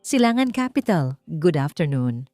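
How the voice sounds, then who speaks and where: A woman voicing a cold, professional female assistant answering the phone in a crisp, clipped way. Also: deep voice, very animated, normal speed, mellow, no room sound, faint music behind. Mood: cold, formal.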